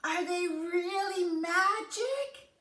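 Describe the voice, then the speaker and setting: A woman voicing an eager, excitable young boy in a fairy tale. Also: sits high, slow, pitched above the performer's own voice, full character voice. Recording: roomy echo.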